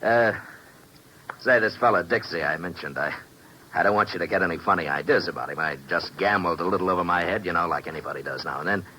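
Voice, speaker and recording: A sharp-voiced washed-up American football hero. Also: male voice, sits low, quick pace. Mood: false bravado. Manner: slangy, edgy tough talk. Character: washed-up former football star turned gambling private eye, jittery bravado. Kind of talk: 1950s radio drama acting. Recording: noisy vintage 1950s radio recording.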